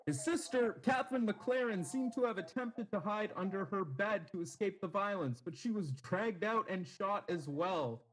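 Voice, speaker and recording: A man's voice: high-pitched, lively intonation, moderate pace, hardly any pauses, poor audio. A man playing an eager, urgent on-scene news reporter in a radio drama.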